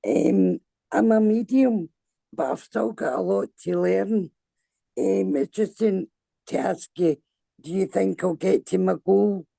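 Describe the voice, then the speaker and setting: Scottish woman, deep, dark, husky voice; slow, hesitant caller. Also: no echo. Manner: hesitant, many ums. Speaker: caller, a medium seeking advice. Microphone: video call.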